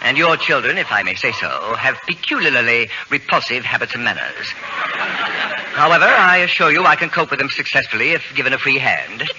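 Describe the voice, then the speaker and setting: A man's voice: mid-range voice, sing-song, brisk, lightly acted. A man playing a haughty, pompous genius babysitter with precise, formal diction, in 1940s radio comedy style. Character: haughty, fastidious self-proclaimed genius male babysitter. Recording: dull, noisy old radio broadcast.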